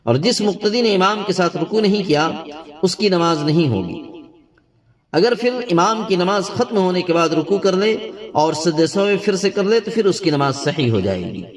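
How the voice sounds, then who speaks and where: A man's voice: sits high, recorded from a distance. A man giving a religious lesson as an Islamic teacher, explaining rulings in a didactic way with formal Urdu and Arabic religious terms.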